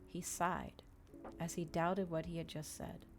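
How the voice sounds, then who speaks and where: A woman reading a novel aloud in a soft, measured ASMR style, close to the microphone. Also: fairly low pitch, level tone, noisy background.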